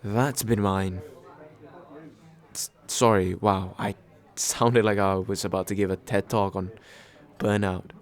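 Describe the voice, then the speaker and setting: A man playing a nervous, kind guy on a second date, speaking haltingly and reassuringly in an ASMR comfort roleplay. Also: lowish voice, little pitch movement. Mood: friendly, playful. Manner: hesitant, soft-hearted, self-deprecating, halting with small pauses. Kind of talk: ASMR comfort roleplay, acted as casual chat.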